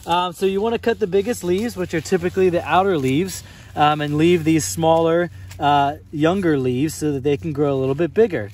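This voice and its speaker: Young guy farmer talking through his crops outside in the cold, earnest, lots of ums, voice a bit on the higher side, close phone mic. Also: fairly quick, darkish tone. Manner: explanatory, earnest, frequent "uh" and "um" fillers. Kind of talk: on-site farm tour interview. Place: outdoors on a snowy farm field.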